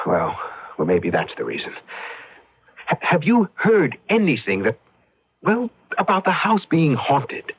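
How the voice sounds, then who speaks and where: Husky, animated young husband. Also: male voice, fairly high pitch, heavily acted. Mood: troubled, hesitant. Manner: hesitant, halting. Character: anxious young husband, earnest and hesitant. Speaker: radio drama actor. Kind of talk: old-time radio drama acting. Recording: dull vintage radio drama recording.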